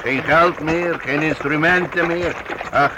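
Glum master musician, very noisy. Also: male voice, on the high side, fairly even intonation, slow pace. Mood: despondent. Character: weary, glum male master of travelling musicians. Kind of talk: period radio play acting. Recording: very noisy old radio drama recording.

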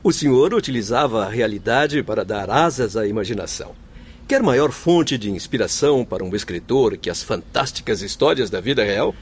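Man playing a kindly, lively-pitched doctor. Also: normal speed, a little hiss or hum, natural voice. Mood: humble, encouraging. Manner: courteous, reassuring, formal. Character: kindly, humble male doctor in spirit world. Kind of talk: radio drama acting.

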